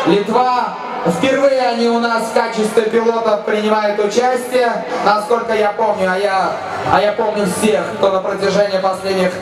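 High-pitched man announcing over echoey PA. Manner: loud energetic announcing, shouting to crowd. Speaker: event announcer. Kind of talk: live event announcing over PA. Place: outdoor drift track. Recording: echoey PA sound from far off with music.

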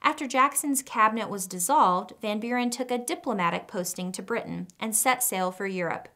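A young woman presenting an educational video, reading a scripted narration in a clear, measured way in a studio. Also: a little high, pushed and bright. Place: studio with a plain wooden backdrop.

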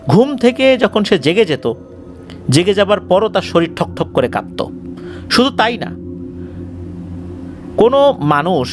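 A man with a fairly high voice tells a scary story as if speaking straight to listeners. His pitch swings in a very lively way, and he repeats phrases for suspense. Some faint background noise sits behind him. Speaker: horror story narrator. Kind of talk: conversational spoken horror storytelling.

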